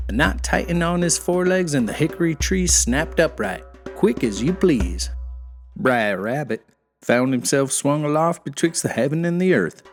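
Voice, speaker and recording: A man telling a folktale as an expressive, folksy scripted narration. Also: a little high. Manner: folksy, animated. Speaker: storyteller.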